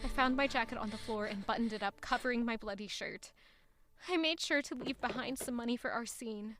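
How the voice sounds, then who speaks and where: Actress as high-voiced, haunted young woman narrator. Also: fairly quick, fairly bright tone, no room sound, faint music behind, close to an everyday voice. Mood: subdued. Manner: dramatic, intense storytelling delivery. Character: young woman traveler, haunted, bitter, quietly vengeful narrator. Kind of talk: first-person audio drama narration.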